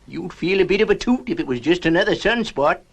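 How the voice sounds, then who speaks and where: A man's voice: high voice, animated, fast, breathy edge, full character voice. An actor playing an earnest, hesitant male professor aboard a spaceship in a 1960s puppet series.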